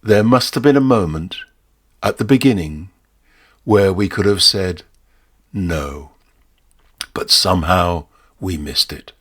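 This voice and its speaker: Ominous, courteous barman played by a male reader, low and husky, formal and portentous, audio story. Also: a bit bright, full character voice. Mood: grave, knowing. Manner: slow, formal, deliberate, portentous. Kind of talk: short story performance.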